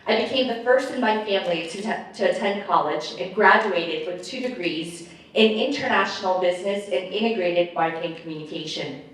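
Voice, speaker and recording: A woman in her late thirties from Guatemala, giving a measured, rehearsed campaign speech at a forum. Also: fairly low pitch. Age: late 30s. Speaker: mayoral candidate. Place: large echoey hall with audience. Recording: echoey hall, speaker far from mic.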